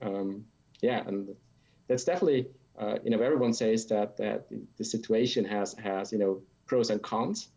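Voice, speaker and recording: A middle-aged man from Switzerland answering casually as an interview guest, halting and repeating words as he thinks. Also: fairly low pitch, dark tone, slightly rough. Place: home office that doubles as playroom. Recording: dull, lo-fi video call audio.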